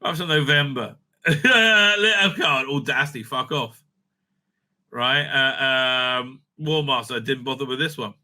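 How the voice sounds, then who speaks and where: A man's voice: mid-range voice, unhurried, pushed and bright. A man giving casual, unscripted online commentary, sweary, sarcastic and exasperated in manner. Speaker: online commentator reviewing audio drama releases.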